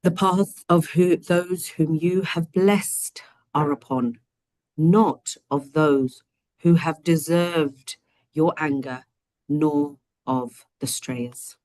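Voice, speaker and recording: Low-voiced woman hosting an online lesson. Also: takes it slowly, no room sound. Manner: gentle, chatty, many fillers. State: slightly croaky voice. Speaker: host. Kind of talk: online study session, translating and explaining. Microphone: video call.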